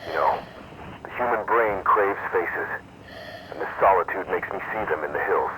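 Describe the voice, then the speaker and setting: A man's voice: sits low, normal speed, very noisy. A man playing a serious astronaut on the radio. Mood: grave. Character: serious male astronaut on a lunar walk. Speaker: astronaut character. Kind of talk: game voice acting.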